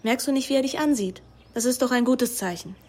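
An actress playing a young, cool and persuasive scheming daughter in a radio play. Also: female voice, on the high side, fairly quick, a little mellow, breathy edge. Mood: conspiratorial, persuasive. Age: young adult.

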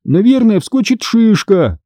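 A man's voice: high voice, big pitch swings, measured pace, mellow, no room sound, studio quality, pitched above the performer's own voice. A man voicing a whiny, grabby little brother in a storybook, full of exclamations. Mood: whining. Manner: whining, exclamatory.

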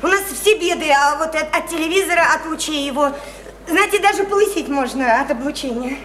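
Radio play: odd provincial girl-woman, high voice, superstitious and earnest, folksy rambling, roomy slightly noisy recording. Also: a little fast, fairly bright tone. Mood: earnest, gossipy. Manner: rambling, simple folksy speech full of hesitant fillers. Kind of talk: theatrical radio play dialogue.

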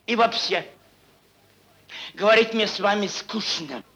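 A man's voice: high voice, a little slow, bright, forceful tone, slightly rough, heavily acted. An actor playing a crude, mocking merchant boss who rambles and repeats his words in a theatrical stage style. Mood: scornful bullying. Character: crude, drunken, bullying male merchant boss, cynical and rambling. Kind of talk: theatrical stage acting, Russian classic prose.

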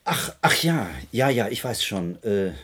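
A man playing a formal, guarded professor in charge of a space project, courteous and measured, in a radio drama. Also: lowish voice, very animated, a bit bright, slightly rough, heavily acted. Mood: smoothly evasive.

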